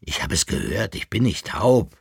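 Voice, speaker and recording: A man voicing a wry, evasive fiddler who hesitates and feigns calm, in an audiobook story. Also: fairly low pitch, normal speed, creaky, breathy edge, dry recording, studio quality, full character voice. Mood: feigned calm. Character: wry, evasive, forgetful male fiddler, resigned yet cheerful.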